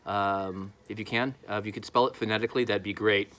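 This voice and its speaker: A man's voice: on the low side, steady tone, fast talker, a bit bright. A man in his thirties talking casually to camera outdoors, with a hesitant, informal delivery, as an amateur radio vlogger. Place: outdoor backyard patio. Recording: clearly noisy outdoor recording.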